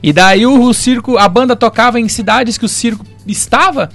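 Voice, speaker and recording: A man's voice: very high voice, normal speed, fairly bright tone, faint music behind. A man in his early thirties with a southern Brazilian accent, chatting informally as a guest in a radio interview. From Rio Grande do Sul, southern Brazil. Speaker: guest, circus clown and show producer. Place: radio studio.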